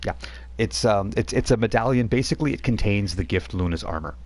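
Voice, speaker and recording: A man running a tabletop game as game master, explaining rules and lore in a relaxed, rambling way. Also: on the low side, a little fast. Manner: explanatory, with frequent fillers and restarts.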